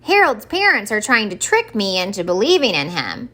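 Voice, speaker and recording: A woman's voice: mid-range voice, huge pitch swings, normal speed, sharp tone, full character voice. A woman voicing a mopey, suspicious, stubborn old Santa Claus in a children's storybook, dramatic and insistent. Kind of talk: expressive children's storybook read-aloud.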